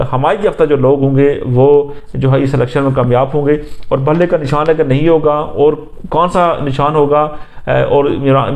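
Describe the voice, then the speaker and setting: पाकिस्तानी लहजे वाला आदमी, सपाट आवाज़. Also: male voice, mid-range voice, narrow, tinny audio. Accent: Pakistani. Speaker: political commentator. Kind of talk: unscripted news commentary recounting an interview.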